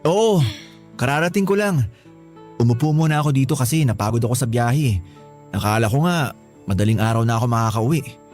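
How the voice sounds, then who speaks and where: An actor playing a tired, exasperated young Filipino husband who pleads reluctantly in a radio drama. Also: male voice, mid-range voice, lively intonation, fairly quick, pauses often, darkish tone, faint music behind, lightly acted. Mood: weary, grumbling.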